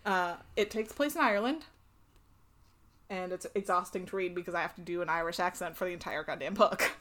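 A woman's voice: lowish voice, a little fast, a bit bright. Young American woman, casual podcast co-host, giggly and profane. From United States. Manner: laughs often, swears freely. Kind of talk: casual chat.

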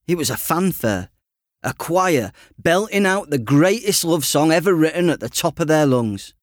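A man narrates a first-person story in a chatty, self-deprecating way. His pitch moves about a great deal, and the sound is dry and close. He tells it expressively, as a teenage boy confiding in the listener. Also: expressive, normal speed, no room sound. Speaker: author reading his own audiobook.

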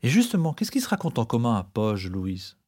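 A man's voice: mid-range voice, huge pitch swings, a little fast, darkish tone. A man co-hosting a podcast with scripted, explanatory narration.

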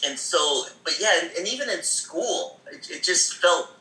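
Man, casual storyteller, fairly high voice, very bright tone, echoey room, lots of fillers. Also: expressive, normal speed. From Seattle area. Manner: rambling, many fillers, laughs often, acts out quoted voices. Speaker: guest.

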